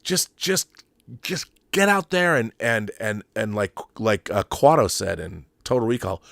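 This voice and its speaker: A man's voice: on the low side, expressive, measured pace, gravelly, a bit bright, dry recording, studio quality. A middle-aged American man hosting a casual, opinionated livestream, rambling with enthusiasm and emphasis. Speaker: YouTube show host and filmmaker.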